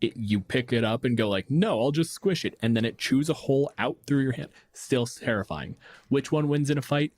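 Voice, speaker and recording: A man's voice: medium pitch, expressive, fairly quick, a little mellow. A man co-hosting a podcast, chatting casually about tabletop games in a blunt, profane, joking way. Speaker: podcast host.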